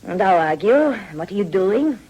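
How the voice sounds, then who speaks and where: A worldly British society lady in a period radio play. She is gracious, teasing and inquisitive, with a medium-pitched voice that rises and falls in lively fashion. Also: normal speed, breathy edge, poor audio. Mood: gracious, affectionate. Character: warm, worldly, teasing upper-class English society lady. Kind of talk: period radio drama acting.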